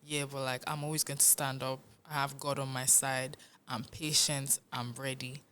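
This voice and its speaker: A young Nigerian woman, a musician, talking in a casual interview, animated and earnest, often laughing. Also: very deep voice, moderate pace, slight background noise. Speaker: musician guest.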